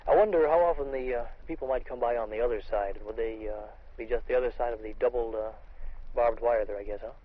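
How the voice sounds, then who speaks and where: Fast-talking American man, dull field recording. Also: mid-range voice. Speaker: army radio reporter. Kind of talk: on-location interview. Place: outdoors in the field.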